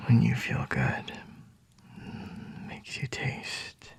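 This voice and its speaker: A man playing a flirty, possessive vampire in an intimate ASMR roleplay, whispering slowly and teasingly in a breathy, husky voice. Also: dry recording. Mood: seductive, soothing.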